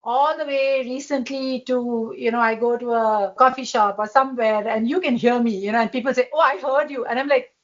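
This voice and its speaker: A woman with a loud voice, talking as a podcast guest in an emphatic way and laughing often. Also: fairly high pitch, fairly even intonation, fairly quick, highs cut off. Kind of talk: casual conversation.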